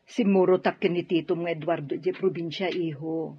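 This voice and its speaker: A woman's voice: lowish voice, steady tone, brisk, slightly rough, no room sound. A woman playing an affectionate middle-aged mother in a radio drama, speaking in a chatty, motherly way. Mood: motherly, patient. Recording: dull, dry studio audio.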